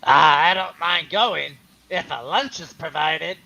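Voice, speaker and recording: A man's voice: on the high side, unhurried, vocal fry, dull audio, crowd noise. A man playing an indifferent, self-interested businessman in a radio play reading, hesitant and offhand.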